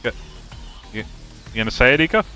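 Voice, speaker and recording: A man's voice: medium pitch, sing-song, quick pace, sharp tone, clear, resonant tone. A man narrating a game as a live streamer, reading aloud with casual, sweary reactions. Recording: faint music behind.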